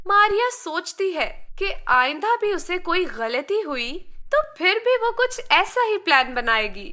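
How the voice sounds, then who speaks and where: A woman's voice: extremely high pitch, big pitch swings, a little slow, pushed and bright. A woman narrating a scripted moral story for children as a storyteller.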